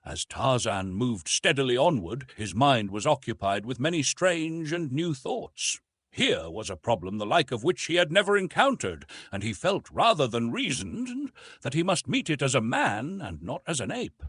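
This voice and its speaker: A man narrating a literary story from a script in an expressive, dramatic storytelling manner. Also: mid-range voice, moderate pace, breathy edge, no room sound. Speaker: audiobook narrator.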